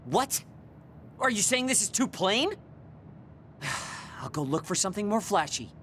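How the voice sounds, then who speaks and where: Prickly, proud young soldier. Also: male voice, high-pitched, sing-song, a little slow, fairly bright tone, slight background noise, exaggerated voice. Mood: gruff, defensive. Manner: curt, irritable. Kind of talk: anime video game dub acting.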